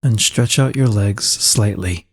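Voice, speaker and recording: A man leading a slow guided meditation in a calm, soothing and unhurried manner, with a soft husky voice. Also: fairly low pitch.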